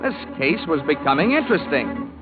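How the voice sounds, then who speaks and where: A man's voice: fairly high pitch, sing-song, fairly quick. Over a narrow, tinny old radio recording with prominent music comes a hard-boiled American detective. He is wry and sardonic, with wisecracks delivered drily in 1940s radio style. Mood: wry narrating. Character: wisecracking hard-boiled male insurance investigator. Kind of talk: 1940s radio detective acting and first-person narration.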